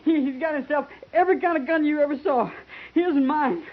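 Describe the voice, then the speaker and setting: An actor playing a frightened young army deserter, pleading and stammering, with a voice. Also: male voice, extremely high pitch, fairly quick. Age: young adult. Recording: tinny old radio broadcast.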